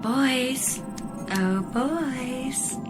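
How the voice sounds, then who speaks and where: Slow-talking, chiding female spaceship computer. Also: high voice, music in the background. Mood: friendly alerting. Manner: measured, polite warnings with familiar chiding. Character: female spaceship computer, chatty, motherly, gently scolding. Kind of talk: radio drama acting.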